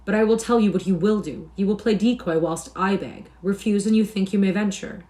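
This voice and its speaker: A woman reading aloud and voicing a rough, scheming young male thief and beggar with a scoffing, swaggering manner. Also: fairly low pitch, normal speed, lightly acted. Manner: archaic swaggering speech, scoffing.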